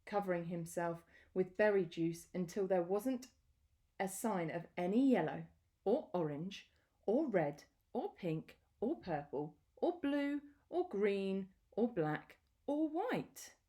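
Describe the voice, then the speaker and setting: A woman reading a children's picture book aloud in a deliberate, expressive storytelling manner with pauses. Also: medium pitch.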